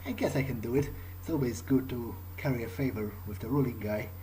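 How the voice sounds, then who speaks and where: A man improvising in character during a game playthrough, speaking in a halting way and repeating words. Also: mid-range voice, mellow, rough recording. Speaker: gamer roleplaying his character. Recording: faint background noise.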